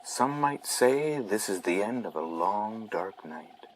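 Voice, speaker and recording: A man playing a mysterious late-night ambient radio DJ, with soothing, hushed, dramatic patter. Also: fairly low pitch, lively intonation, slow, slight background noise, poor audio.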